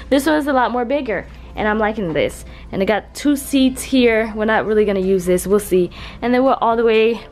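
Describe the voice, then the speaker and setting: A young woman filming a casual vlog, talking in a chatty, slangy way to viewers and her partner while walking through a hotel room with a handheld camera. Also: mid-range voice. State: voice raspy from just waking up. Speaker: vlogger.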